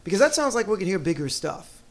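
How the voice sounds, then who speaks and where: A man's voice: sits high, very animated. A man from the New York area interviewing musicians in a playful, chatty way. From New York area, USA. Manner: playful, chatty, trails into quick asides. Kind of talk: casual interview chat.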